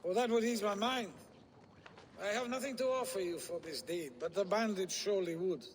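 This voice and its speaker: An actor playing a jovial but beleaguered Viking deputy in a video game, hearty and self-deprecating. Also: male voice, high-pitched, moderate pace, big-room sound, a little hiss or hum, close to an everyday voice. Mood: troubled, rueful. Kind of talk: video game dramatic acting.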